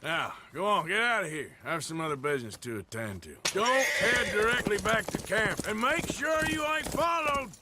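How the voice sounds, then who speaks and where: An actor playing a gruff, world-weary outlaw debt collector in a game, with a rural Western American accent and a sardonic, menacing drawl. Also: male voice, sits high, very animated, normal speed, few pauses, heavy background noise. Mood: weary, firm. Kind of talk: dramatic game cutscene acting.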